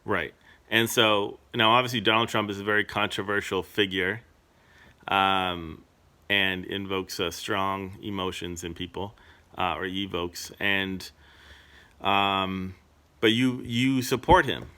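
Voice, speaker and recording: A man's voice: sits low, fairly even intonation, takes it slowly, sharp tone. A man from Massachusetts interviewing his relative casually, asking rambling questions full of "and so" and "you know". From Massachusetts, United States. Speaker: interviewer, journalist.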